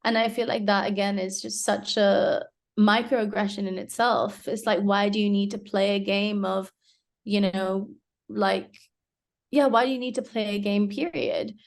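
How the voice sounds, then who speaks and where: Young woman interviewee, gravelly edge, thoughtful, fillers and restarts. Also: mid-range voice, fairly even intonation, a little slow. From Hong Kong. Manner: reflective, many fillers and restarts. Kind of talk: casual interview.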